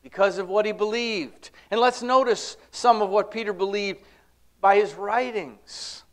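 A middle-aged man preaching a sermon and reading scripture aloud, lifting his voice on key words and dropping it low at the ends of phrases. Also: unhurried, fairly bright tone. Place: church classroom with projection screen, indoors.

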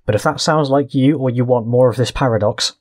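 British male video essayist, mid pitch, scripted narration, very dry sound. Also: a little fast, darkish tone, no room sound. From Britain.

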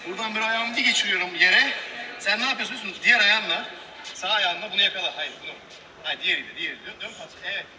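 A man talking a partner through a grappling move with short step-by-step commands. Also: high-pitched. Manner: giving quick step-by-step commands. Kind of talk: instructing grappling moves. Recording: distant echoey video audio, loud noise.

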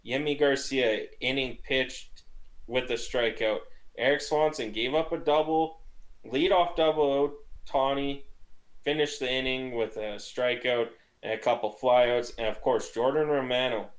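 A man's voice: medium pitch, poor audio. Young man giving a casual game recap. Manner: quick conversational analysis with lively emphasis. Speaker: baseball podcast co-host. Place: small room at home. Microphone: gaming headset.

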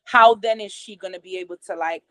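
A woman's voice: mid-range voice, a little fast, no echo. A middle-aged woman whose first language is patois, speaking English with a patois accent as a host, explaining therapy ideas steadily over a video call from a small room at home. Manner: explanatory, steady, gestures a lot with her hands. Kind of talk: casual conversation explaining therapy methods. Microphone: video call from a computer camera.

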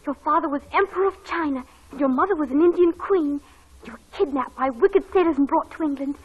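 Woman as a bossy dreamy young girl, high voice, old radio play, dull recording. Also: fairly quick, natural voice. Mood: eager, playful. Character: imaginative, bossy, adoring little girl. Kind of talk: 1940s radio acting.

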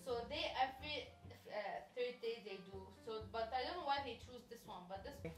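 A young woman chatting casually in a halting way, searching for English words, recorded in a bedroom. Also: fairly high pitch. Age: young adult. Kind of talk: casual chat. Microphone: camera a few feet away. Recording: echoey bedroom with faint music.

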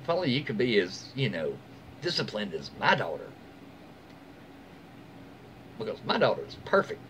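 A man's voice: fairly low pitch, wildly swooping pitch, fast talker, a bit bright. An American man in his forties reads stories aloud in a casual, folksy way, adding his own asides. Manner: folksy, informal. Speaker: host reading online stories aloud. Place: small room at home, indoors. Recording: faint room noise behind voice.

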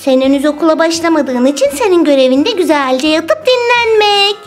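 A woman voicing a caring, slightly bossy big sister girl in a toy play video, with a high, girlish, teacherly voice. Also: animated, brisk, soft background music. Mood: instructive.

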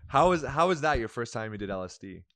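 A man's voice: mid-range voice, sing-song, fast, fairly bright tone. A man co-hosting a casual chat, cutting in with curious follow-up questions into a handheld mic. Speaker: co-host.

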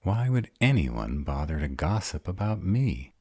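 A sarcastic vampire, acted by a man, with an extremely low voice in a very dry recording. He is guarded and wry, unsure that anyone could love him. The delivery is unhurried, in an audio roleplay style. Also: sing-song, warm tone, slightly rough, dry recording, heavily acted. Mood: defensive, wry. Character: sarcastic grown male vampire, guarded, insecure, starved of love.

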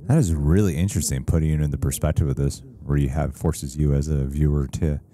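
A man's voice: a bass voice, steady tone, quick pace, dark tone. A man in his thirties musing thoughtfully as a co-host of a reaction show, talking into a headset in a small room. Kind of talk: casual reaction chat. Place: small streaming room indoors.

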